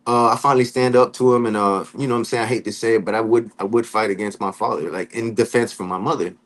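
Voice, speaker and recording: A man from Florida telling his life story in a casual interview, talking in relaxed street slang and often saying "you know what I'm saying". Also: lowish voice, quick pace. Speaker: podcast guest.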